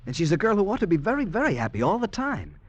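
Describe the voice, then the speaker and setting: An actor playing a small, lovestruck, earnest convict in vintage American radio drama style. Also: male voice, high-pitched, wildly swooping pitch, fast, no echo, exaggerated voice. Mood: earnest, impassioned. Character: earnest little male convict in love. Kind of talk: 1940s-50s American radio drama acting. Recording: dull vintage radio recording.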